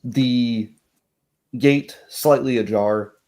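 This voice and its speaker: A man narrating a tabletop roleplay as game master, in a halting, descriptive way. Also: mid-range voice, a little mellow.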